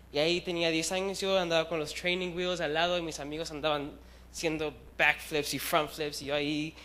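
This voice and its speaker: A young man in his twenties, from Chile, gives a youth sermon in a church hall. His voice is high and he tells his stories in a lively, self-deprecating way, mixing in English words. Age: young adult. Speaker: youth preacher. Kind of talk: casual storytelling sermon.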